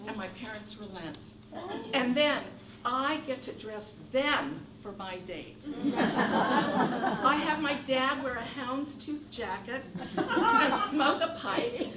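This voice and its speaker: A woman in her sixties from Northern California telling a wry, animated comic monologue on a theater stage. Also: high-pitched. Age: 60s. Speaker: solo performer. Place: theater stage with live audience. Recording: echoey room from far off, noisy.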